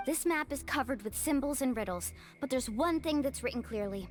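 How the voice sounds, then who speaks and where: High-voiced young female expedition guide. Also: animated, brisk, soft background music. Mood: cautious, firm. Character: level-headed young woman guiding an expedition, friendly and cautious. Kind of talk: English video game voice acting.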